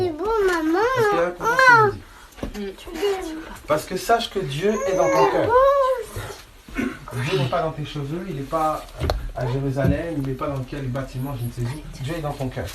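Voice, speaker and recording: A male preacher teaches in an informal, colloquial way. He is insistent, doubling back on phrases to drive them home. His voice rings in a reverberant room, with clear noise behind it. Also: high voice. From France. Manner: insistent, often checks listeners follow; colloquial, repeats phrases for emphasis. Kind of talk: informal sermon, Bible teaching.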